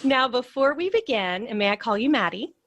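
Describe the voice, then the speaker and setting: A woman's voice: on the high side, big pitch swings, brisk, fairly bright tone, dry recording, muffled recording. A woman hosting a podcast, speaking as an interviewer introducing and chatting with a guest. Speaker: podcast host. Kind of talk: scripted podcast introduction and friendly interview chat.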